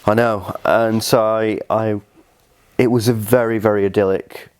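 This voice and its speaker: A man's voice: fairly low pitch, fairly even intonation, measured pace, a little mellow, clean tone. A young man with an English accent, speaking casually as a guest in an interview. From southern Scotland. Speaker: interview guest, fragrance reviewer.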